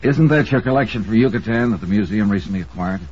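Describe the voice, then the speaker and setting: An actor playing a laconic, tactful investigator in a 1950s radio detective drama, with a husky voice and casual manner. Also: male voice, on the low side, fast, a little mellow. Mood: cool, probing. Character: easygoing, tactful male investigator hero and narrator. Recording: muffled old radio recording.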